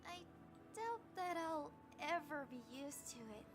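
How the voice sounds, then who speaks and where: Timid girl, squeamish, very high pitch, anime game, music underneath. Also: sing-song, a little slow. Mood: uneasy. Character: timid, squeamish little sister, young anime girl detective. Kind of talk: anime visual novel voice acting.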